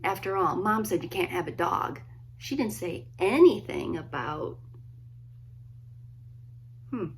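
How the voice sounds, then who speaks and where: A woman, a children's author, reading a story aloud expressively, with dramatic pauses and hushed emphasis. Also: medium pitch, lively intonation, slight background noise. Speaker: children's book author reading own work. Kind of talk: expressive storybook read-aloud, friendly introduction.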